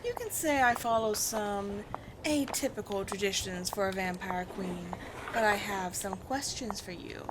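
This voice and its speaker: A woman playing a haughty, commanding vampire queen in a roleplay audio drama, speaking in deliberate, theatrical phrases with disdain for humans. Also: mid-range voice, noisy background, natural voice. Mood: cool, curious.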